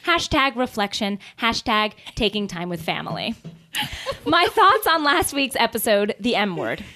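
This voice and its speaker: A woman's voice: a little high, big pitch swings, a little slow, sharp tone. A woman hosting a comedy podcast, playful and giggly as she chats casually and reads out an email. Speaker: podcast host. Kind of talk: casual comic chat and reading out an email.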